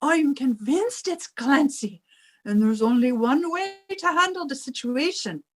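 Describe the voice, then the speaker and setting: A woman's voice: high-pitched, expressive, a little slow. A woman playing a theatrical, bossy older Irish widow and landlady in a radio comedy, given to wailing. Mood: bossy, insistent. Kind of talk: 1950s radio comedy acting.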